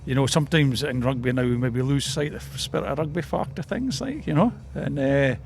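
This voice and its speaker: Gravelly Scottish Borders man, rambling interviewee. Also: mid-range voice, a little fast. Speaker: rugby club interviewee. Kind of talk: casual spoken interview.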